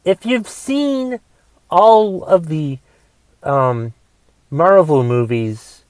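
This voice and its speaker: A man in his forties with a raspy voice from a cough, casually rambling through a movie review on his podcast and thinking aloud with lots of fillers. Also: fairly high pitch, animated, very slow, darkish tone, no room sound, rough recording. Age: 40s. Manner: thinks aloud, musing questions, trailing fillers. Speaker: podcast host.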